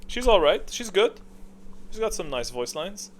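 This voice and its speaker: A man's voice: high-pitched, sing-song, unhurried, a little hiss or hum. A man giving casual, unscripted gaming tier-list commentary in a rambling, opinionated way. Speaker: video game content creator.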